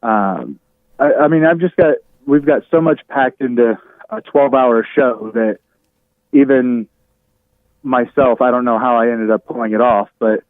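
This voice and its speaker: A radio host chatting casually as an interview guest over a thin, narrow call line. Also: male voice, mid-range voice, measured pace, no room sound. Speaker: radio show host appearing as a podcast guest.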